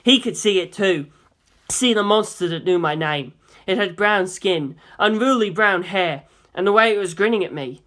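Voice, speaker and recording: A male audiobook narrator with a fairly high speaking voice for a man. He reads scripted first-person adventure prose as a storyteller. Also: moderate pace, fairly bright tone.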